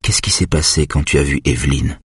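A calm male hypnotist with a very deep, husky voice that stays soft and slow as a male narrator reads him. The voice sits close and dry, the way an audiobook reading does. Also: no echo. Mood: soothing.

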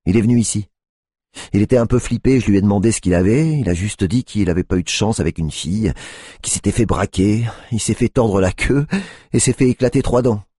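A man's voice: lowish voice, brisk, dark tone, breathy edge, dry recording, clean studio sound, voice pushed up. A man voicing an agitated, drug-addicted female sex worker who mocks and swears at a detective. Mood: grudging. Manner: mocking, aggressive, swearing.

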